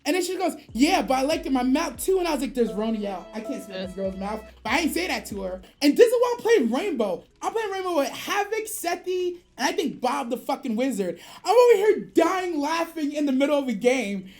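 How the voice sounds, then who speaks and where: High-pitched, animated woman storyteller. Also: fairly quick, few pauses, soft background music. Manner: profane, slangy, acts out dialogue. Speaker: streamer.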